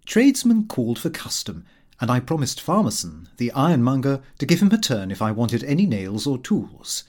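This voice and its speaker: A male reader plays a middle-aged Victorian clerk, narrating his comic diary for an audiobook. He is fussy, pompous and entirely earnest. His intonation is very animated, rising and falling widely as he sets out his small domestic affairs with great self-satisfaction. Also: medium pitch, moderate pace. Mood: prim, mildly put out.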